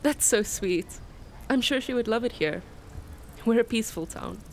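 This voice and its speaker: A woman's voice: fairly high pitch, expressive, normal speed. Woman playing a kind townswoman, noisy ambience. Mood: welcoming. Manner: gentle, welcoming. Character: kind, welcoming townswoman of a peaceful eco-city. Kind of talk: radio drama dialogue and storytelling. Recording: noisy outdoor ambience behind the voice.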